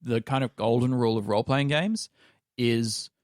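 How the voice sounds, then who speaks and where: A man's voice: mid-range voice, moderate pace, no room sound, clean studio sound. An Australian man explaining his views in a thoughtful, informal group chat, often saying "like" as he goes. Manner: thoughtful, informal, frequent hedging with "like". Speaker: actor and podcast panellist. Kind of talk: casual explanatory discussion.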